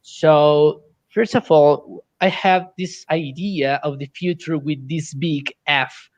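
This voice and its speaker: Male moderator, Colombian Spanish accent, higher register, halting delivery, dry recording. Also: steady tone, dry recording, lo-fi sound. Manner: halting second-language English. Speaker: panel moderator, science fiction editor. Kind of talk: hosting an online panel discussion.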